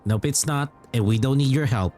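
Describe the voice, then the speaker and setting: A man's voice: mid-range voice, a little fast, darkish tone, soft background music. A man giving casual live reactions as a game streamer, cheekily talking back to the characters. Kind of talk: casual live reaction commentary over gameplay.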